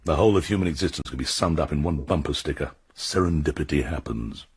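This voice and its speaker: A man's voice: extremely low pitch, fast, slightly rough, soft background music, exaggerated voice. An actor playing a world-weary, philosophical man in radio drama dialogue. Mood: wry, resigned. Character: weary, philosophical man done seeking answers.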